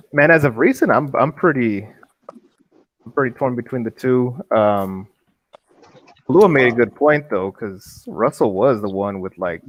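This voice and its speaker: A man's voice: lowish voice, no echo, muffled recording. A man on a podcast panel talking casually about boxing, rambling with lots of fillers. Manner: loose, rambling, filler-heavy. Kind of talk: casual chat.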